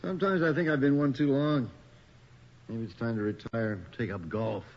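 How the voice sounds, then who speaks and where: An actor playing a middle-aged, world-weary, skeptical police detective in a radio horror drama, with a dry, sardonic delivery. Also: male voice, mid-range voice, fairly even intonation, moderate pace, natural voice. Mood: wry, weary. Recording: dull, noisy old radio recording.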